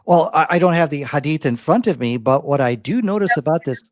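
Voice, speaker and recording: Dismissive high-pitched male debater. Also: lively intonation, brisk, no room sound, clean studio sound. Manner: dismissive, chuckling. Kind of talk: live online debate Q&A.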